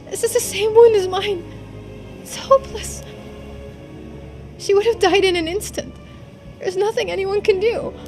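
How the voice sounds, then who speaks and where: Girl doing a nervous out-of-shape game heroine, high pitched, talks to herself, super emotional, music behind. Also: a little mellow. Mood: anguished. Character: anxious, out-of-shape young woman, guilt-stricken and self-doubting. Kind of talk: dramatic voiced reading of visual novel game dialogue.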